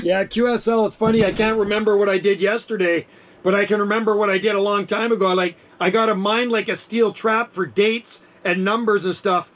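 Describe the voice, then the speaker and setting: Bright, high-voiced chatty man in his sixties. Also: fairly even intonation, a little fast. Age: 60s. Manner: chatty, upbeat storyteller. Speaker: amateur radio operator. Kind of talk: casual radio chat.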